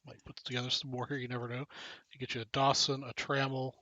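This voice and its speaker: Bright-voiced joking man on a lo-fi stream. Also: mid-range voice, flat delivery, fast talker, no room sound. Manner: joking, chatty, reads out names and cards. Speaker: live stream card break host. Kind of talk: casual live stream chat. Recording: dull lo-fi stream audio.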